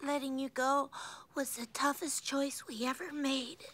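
Film mouse mom, genteel, sugary, plaintive, raised pitch. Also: female voice, little pitch movement, measured pace, a bit bright, a little hiss or hum. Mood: tender, plaintive. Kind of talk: family film voice acting.

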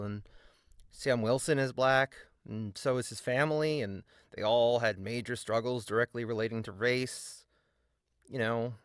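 A man's voice: mid-range voice, fairly bright tone, smooth, no room sound. A man narrating a scripted video essay in a sardonic, emphatic way with dry asides.

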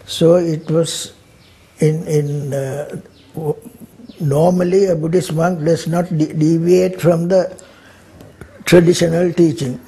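An elderly man, speaking haltingly in an indoor documentary interview, repeating words. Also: on the high side, steady tone, dark tone, breathy edge. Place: indoors in a living room.

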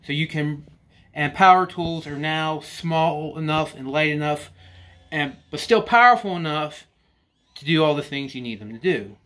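A man in his forties reviewing hand saws in a cluttered workshop, talking slowly and rambling with restarts. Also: a little high, sharp tone. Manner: slow, rambling, with frequent restarts and "you know". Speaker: woodworking channel host. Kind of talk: casual workshop tool review. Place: cluttered indoor workshop or basement.

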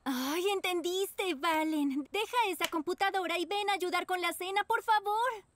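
Bossy, very high-voiced cartoon girl. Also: moderate pace, fairly bright tone, no echo. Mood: annoyed. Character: bossy, playful teenage girl with dramatic flair. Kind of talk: animated cartoon dub. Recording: music behind the voice.

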